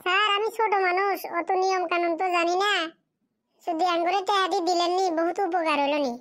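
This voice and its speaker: A performer acts out a poor orphaned child from a cartoon village. The voice is extremely high and small. The child speaks a rustic Bengali dialect, humble and plaintive. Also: fairly even intonation, a little fast, fairly bright tone. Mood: humble pleading. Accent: rural Bengali dialect. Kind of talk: amateur cartoon drama dialogue.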